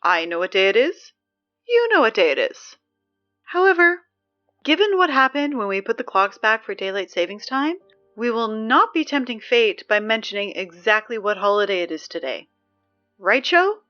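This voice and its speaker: A woman acting as an exasperated, wry high school student reading morning announcements in an apocalypse audio drama, halting and conversational. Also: very animated, lo-fi sound. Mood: firm, exasperated. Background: music.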